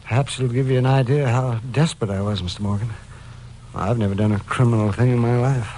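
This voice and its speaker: Casting: male actor as meek, desperate victim in vintage radio drama; medium pitch, hesitant, pleading, faint recording noise. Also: steady tone, fairly quick, mellow, natural voice. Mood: subdued recounting. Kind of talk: old-time radio crime drama acting. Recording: noisy old radio recording.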